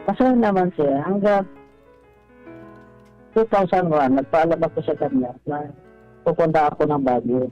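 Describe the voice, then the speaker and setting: A middle-aged man calling a radio show, telling his story casually in a halting, deferential way, repeating his phrases. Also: on the high side. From Philippines, Visayas or Mindanao. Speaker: caller to a radio advice show. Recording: dull lo-fi phone call, faint music.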